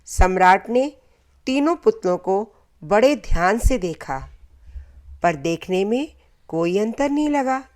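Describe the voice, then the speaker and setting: A woman narrates a scripted folktale with a moral. Her delivery is very unhurried, broken into short, deliberate phrases. Her voice sits at an average pitch for a woman. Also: animated, a little mellow. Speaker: storyteller.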